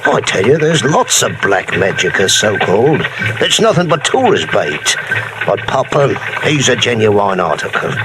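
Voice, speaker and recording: An actor playing a talkative, superstitious old island boat captain in a 1950s radio drama, spinning folksy yarns. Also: male voice, fairly low pitch, big pitch swings, normal speed. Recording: old radio broadcast with clear hiss.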